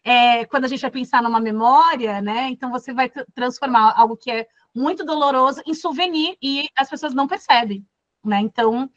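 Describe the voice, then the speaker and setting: A woman from Brazil leads a class discussion, pausing often with fillers as she works through her points. Her voice sits a little high. The sound is dry and dull, like a lo-fi video call. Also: dry recording. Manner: thoughtful, many fillers and restarts. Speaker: course facilitator. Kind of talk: casual classroom talk.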